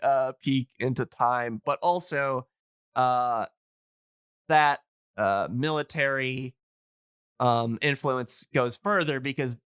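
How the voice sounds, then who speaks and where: A man explaining things casually on a podcast as an enthusiast, in a halting, rambling way full of fillers. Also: mid-range voice, fairly bright tone, smooth, no room sound, clean studio sound. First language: English. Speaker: podcast speaker on old-time radio.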